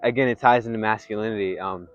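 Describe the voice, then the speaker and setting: A young man speaks in a soft, somewhat low voice with a constant congested, nasal quality. His delivery is halting and rambling, full of fillers and restarts, like a candid personal vlog. The recording sounds a little dull and lo-fi, with faint music underneath. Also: steady tone. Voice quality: congested, nasal from blocked nose; soft, not loud. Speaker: vlogger.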